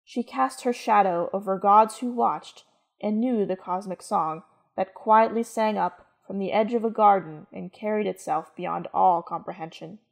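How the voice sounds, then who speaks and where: Woman narrator reading a story radio play style, medium pitch. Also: a little slow, gravelly. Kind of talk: scripted dramatic story narration.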